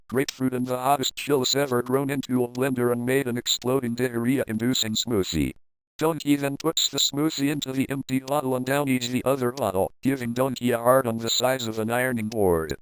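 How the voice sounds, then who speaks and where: A man's voice: medium pitch, clear, resonant tone, no room sound, low-quality recording. A man reading a comic fan fiction story aloud in a playful, crude, dramatic manner.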